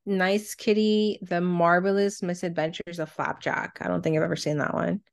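A woman's voice: on the low side, level tone, moderate pace, creaky. A woman co-hosting a podcast, chatting casually and reacting her way through a countdown list. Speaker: podcast co-host.

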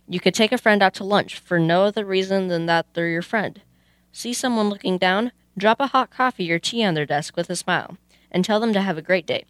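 A woman's voice: medium pitch, brisk, no echo. A woman presenting on a youth radio show, reading scripted narration.

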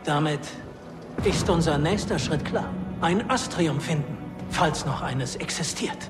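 An actor playing a solemn, scholarly mentor who explains things in a measured way, in an audio drama. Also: male voice, on the high side, loud music behind the voice, lightly acted. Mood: solemn, intent. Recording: long hall reverb.

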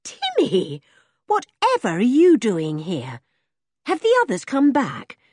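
A woman reading an audiobook voices a frightened, gentle young girl who talks in an anxious, pleading way. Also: on the high side, huge pitch swings, slow pace, slightly rough, no room sound, clean studio sound, pitched above the performer's own voice, exaggerated voice.

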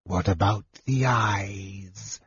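A man voicing a cruel, exultant male draconian soldier who speaks slowly and menacingly. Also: on the low side, fairly bright tone, breathy edge, no room sound, dull audio, full character voice.

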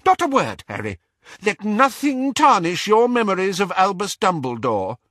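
A man voicing a frail, fussy old male wizard with a high-pitched, wheezy voice. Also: huge pitch swings, normal speed, a bit bright, no room sound, clean studio sound, exaggerated voice. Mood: indignant. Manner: flustered, tearful, indignant. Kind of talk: audiobook character voice.

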